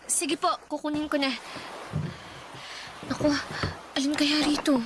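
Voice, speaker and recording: An actress playing an eager, caring eighteen-year-old housemaid in a Tagalog radio drama, polite, hesitant and fussing. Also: female voice, high-pitched, little pitch movement, a little slow, noisy background. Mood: worried.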